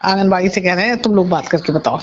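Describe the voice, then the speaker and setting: A woman's voice: lowish voice, quick pace. A woman with an Uttar Pradesh accent talking casually in a recorded phone call, often recounting what others said. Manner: conversational, recounts others' words in reported dialogue. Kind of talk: casual private phone conversation.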